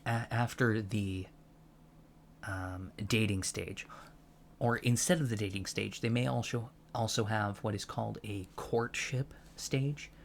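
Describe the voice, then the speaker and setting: Soft-spoken male ASMR lecturer, patient alien-professor roleplay, unhurried and halting, lowish pitch, humming background noise. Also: creaky. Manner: halting, pedantic, explanatory asides.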